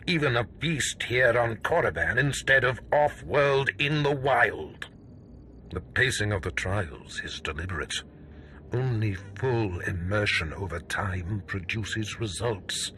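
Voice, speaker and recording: Slow, deep, forceful Sith master. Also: male voice, a little hiss or hum, heavily acted. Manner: imperious, deliberate, clipped pronouncements. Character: stern, contemptuous Sith overseer, a cold commanding male master. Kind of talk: video game cutscene acting.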